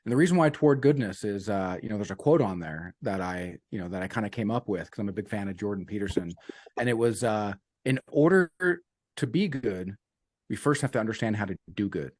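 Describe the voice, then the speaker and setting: Low-voiced earnest male coach, dry sound. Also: expressive, a little fast, darkish tone, dry recording. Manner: earnest, repeats key sayings, uses "man" and "you know". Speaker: business and life coach guest. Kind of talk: casual interview talk.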